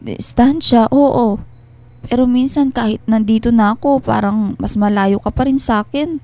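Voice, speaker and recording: A woman acting in a radio drama as a hurt, jealous wife, emotional, halting and accusing. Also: fairly high pitch, warm tone, no room sound, close to an everyday voice. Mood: hurt, suspicious. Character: hurt, jealous wife confronting her husband. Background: background music.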